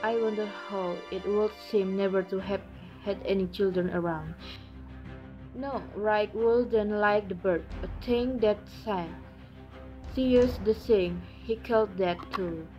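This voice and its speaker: A regretful, resentful farm wife, acted by a young woman in a student play. Her voice sits at an average pitch, and music plays clearly behind her. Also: measured pace, lo-fi sound, lightly acted. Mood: brooding. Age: young adult. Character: grieving, resentful farm wife, middle-aged. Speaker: amateur student actor. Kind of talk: amateur stage drama acting.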